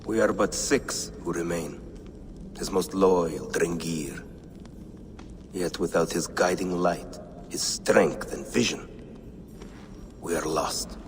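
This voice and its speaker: Solemn Viking warrior, low slow voice, proud grief, video game, cavernous reverb. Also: male voice, slight background noise. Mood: mournful. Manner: solemn, storytelling, weighty pauses. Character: grieving, proud aging Viking warrior, loyal to his fallen king. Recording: long cavern-like reverb, faint music behind.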